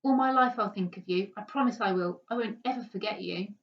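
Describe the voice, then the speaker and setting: A woman's voice: mid-range voice, brisk, natural voice. A woman voicing an impassioned, pleading seven-year-old boy in a storybook reading. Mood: sad pleading. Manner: impassioned, crying out. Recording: dull, distant microphone.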